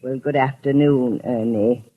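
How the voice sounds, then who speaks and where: An actress playing a crabby, prim, nosy spinster who is snippy and bossy, in 1950s radio drama style. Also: female voice, very deep voice, fairly even intonation, unhurried, phone-quality sound. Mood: impatient, crabby. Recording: noisy 1950s radio broadcast.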